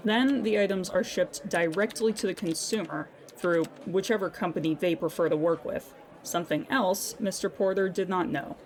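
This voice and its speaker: A woman's voice: fairly low pitch, normal speed, close to an everyday voice. A woman playing a weary, sardonic claims agent in an audio drama monologue, with a dry, businesslike manner. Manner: dry, exasperated asides amid businesslike dictation.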